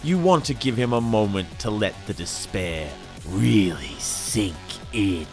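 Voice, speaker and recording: Deep, theatrical male dramatic narrator. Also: animated. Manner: theatrical, menacing, emphatic delivery with deliberate pauses. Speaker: narrator reading an audiobook excerpt. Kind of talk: dramatic scripted narration of dark science fantasy. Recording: music under the voice. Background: dramatic background music.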